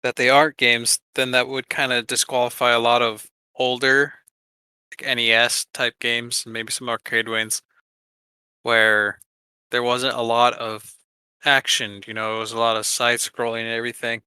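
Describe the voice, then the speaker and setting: Man, crisp smooth voice, close dry sound, informal jokey podcast co-host. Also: mid-range voice, level tone, normal speed, bright, forceful tone, no echo. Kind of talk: casual podcast chat.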